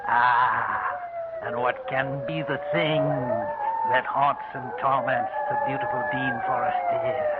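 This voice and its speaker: An actor playing an eerie, cackling old hermit who tells horror stories with theatrical, gleeful menace. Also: male voice, mid-range voice. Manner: theatrical, gleefully sinister, drawn-out delivery. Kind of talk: 1940s radio horror hosting. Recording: noisy old radio broadcast, phone-like band.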